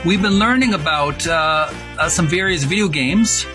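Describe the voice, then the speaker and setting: A man hosting informal video commentary, halting as he goes and drawing out key words for emphasis. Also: fairly high pitch, music in the background. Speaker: host of a retro gaming channel. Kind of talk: informal video commentary with read-aloud passages.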